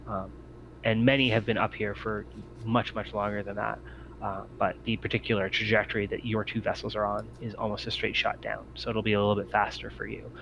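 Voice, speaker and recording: A man narrating a tabletop roleplaying game as game master, with a halting, hesitant delivery. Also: lowish voice, little pitch movement, vocal fry, fairly bright tone, muffled recording. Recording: faint background noise.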